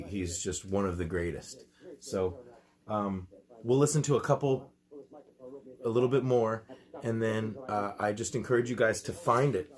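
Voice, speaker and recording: A man hosting a record channel, chatting casually and enthusiastically in a conversational way. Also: on the low side. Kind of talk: casual chat, recommending and reacting.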